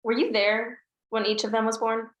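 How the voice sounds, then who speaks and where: A woman's voice: a little high, little pitch movement, normal speed, muffled recording, close to an everyday voice. A woman reading the part of a wry, teasing young adult daughter. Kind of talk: table read. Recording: roomy echo.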